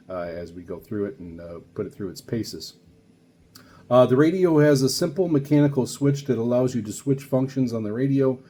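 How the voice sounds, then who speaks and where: A man hosting a casual product unboxing, reading aloud and commenting in a halting way with frequent uhs and pauses. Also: on the low side, dark tone. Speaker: radio hobbyist reviewer, video host.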